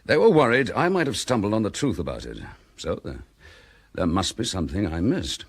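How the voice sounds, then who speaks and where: A man's voice: on the low side, very animated, brisk, husky. A man playing a dogged, blunt chief inspector in a vintage radio drama. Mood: explaining.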